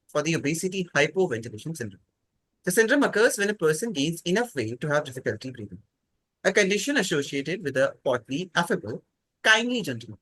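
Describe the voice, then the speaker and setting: A man's voice: on the high side, fairly bright tone, no room sound, rough recording. A man hosting a quiz, reading out prepared questions to contestants.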